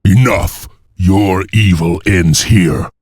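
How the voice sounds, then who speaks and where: An actor playing a noble, stern wolf clan leader in a video game, speaking in a solemn, commanding way. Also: male voice, sits low, takes it slowly, darkish tone, slightly rough, no echo, studio quality, exaggerated voice.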